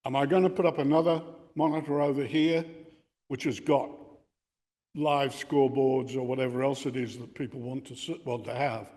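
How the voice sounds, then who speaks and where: An older man giving an informal explanatory talk, reflective and halting in his phrasing. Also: medium pitch, steady tone, a little mellow. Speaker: presenter giving a talk on radio contesting. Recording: reverberant hall sound.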